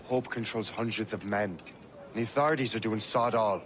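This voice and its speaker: A man's voice: lowish voice, normal speed, fairly bright tone, a little hiss or hum, lightly acted. Cocky, dry young male hunter played by an actor. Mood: grave. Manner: dry, sardonic. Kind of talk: video game cutscene acting.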